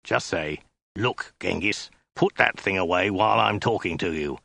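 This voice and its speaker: Audiobook bit part: thuggish warrior read by a man, low snarl, blunt and menacing. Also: normal speed, vocal fry, bright, forceful tone, no room sound, heavily acted. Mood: impatient. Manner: barking blunt orders. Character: bullying, snarling male Mongol soldier.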